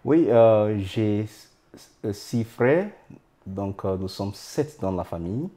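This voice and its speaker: A man from Nigeria, Idoma by first language, answers as a guest priest in a studio. His voice is low and mellow, and he speaks slowly. He pauses often with "euh" as he builds each answer. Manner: halting, with frequent "euh" pauses. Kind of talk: interview answers.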